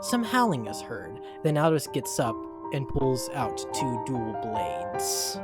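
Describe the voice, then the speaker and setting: A man's voice: mid-range voice, very animated, slow pace. A man reading scripted story narration as the narrator. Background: background music.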